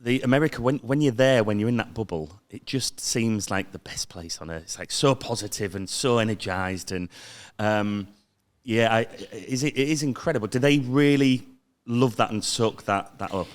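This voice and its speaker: A man's voice: on the low side, moderate pace. A man hosting a podcast, interviewing in a casual, chatty way and often rephrasing his questions as he goes. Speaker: podcast host.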